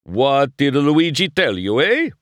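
A man voicing an excitable little Italian tire-shop car, male, with a gushing, sing-song Italian accent. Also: medium pitch, slow, no echo, studio quality, pitched above the performer's own voice. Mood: smug. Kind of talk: storybook read-aloud.

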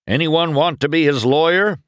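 A man's voice: on the low side, animated, takes it slowly, fairly bright tone, no room sound, clean studio sound, voice pushed up. A man voicing a stern small-town sheriff car in an audiobook, barking out court business in an official manner.

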